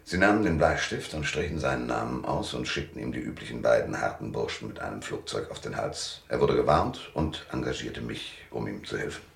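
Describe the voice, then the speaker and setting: A man's voice: a bass voice, animated, fairly quick, creaky, few pauses, husky, exaggerated voice. A man playing a weary, cynical private detective in a radio drama, narrating and talking in a laconic, dry, world-weary way. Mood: matter-of-fact. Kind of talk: first-person noir narration and dialogue in radio drama. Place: dry studio.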